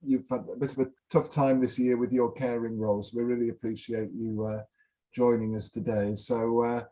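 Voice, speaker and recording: A man hosting an event, giving an informal introduction in a polite, hesitant and halting way. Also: medium pitch, little pitch movement, dark tone. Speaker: event host.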